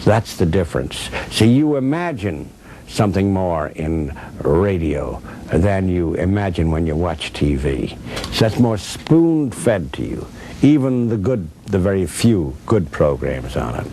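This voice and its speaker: A man's voice: sits low, very animated, a little slow, warm tone. An older man reflecting conversationally in an interview, emphatically repeating key phrases as he tells stories. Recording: clear background noise and ambience.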